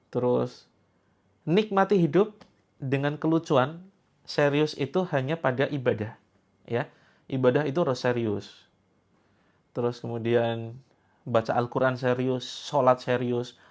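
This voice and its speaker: A man's voice: medium pitch, unhurried, pauses often. A man in his sixties, a preacher leading a healing session for a live gathering, talking in a playful, teasing way and joking freely with his audience. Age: 60s.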